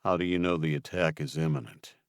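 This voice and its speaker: A man voicing a stern male leader who questions slowly and quietly with cold menace. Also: no echo, studio quality. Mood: cold, probing. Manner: low, slow, quietly menacing.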